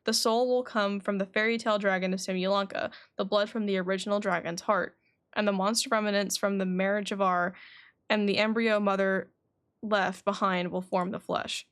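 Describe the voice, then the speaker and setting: A woman's voice: medium pitch, fairly even intonation, moderate pace, a bit bright, smooth. A woman livestreaming a game, chatting informally and reading game dialogue aloud. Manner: chatty, informal, says "like" often.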